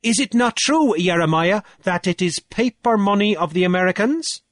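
A man's voice: sits high, expressive, moderate pace, a bit bright, no room sound, pitched above the performer's own voice. A man voicing a smug, triumphant chancellor in a story, arguing before an island council in an emphatic, challenging, oratorical manner. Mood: pressing.

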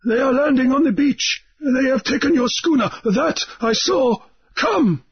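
A man's voice: extremely high pitch, unhurried, husky, lo-fi sound, voice pushed up, heavily acted. A man voicing a breathless island guide who urges his friend to flee. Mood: urgent. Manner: breathless, urgent.

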